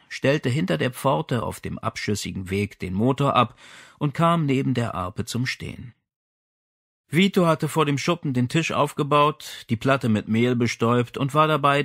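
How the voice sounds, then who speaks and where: A man narrates a crime novel as an audiobook. His pitch moves in lively swings between phrases, and the sound is dry, with hardly any room in it. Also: no room sound.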